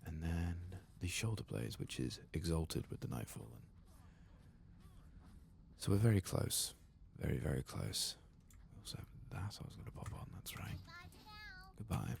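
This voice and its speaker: Very deep, gravelly soft-spoken ASMR man. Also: big pitch swings, a little hiss or hum. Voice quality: very deep, gravelly, often breathy and half-whispered. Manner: gentle, unhurried, murmuring. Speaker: ASMR gaming channel host. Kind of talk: soft-spoken ASMR game playthrough commentary.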